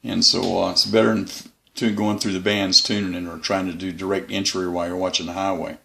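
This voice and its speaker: Deep, gravelly-voiced man chatting casually. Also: flat delivery, fairly quick, sharp tone. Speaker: host of a shortwave radio hobby channel. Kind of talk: casual unscripted review talk.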